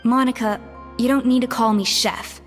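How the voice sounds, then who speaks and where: An actress playing a proud, composed female former head chef in a video game, formal and measured. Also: a little high, steady tone, long reverb tail, faint music behind, natural voice. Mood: composed, resolute.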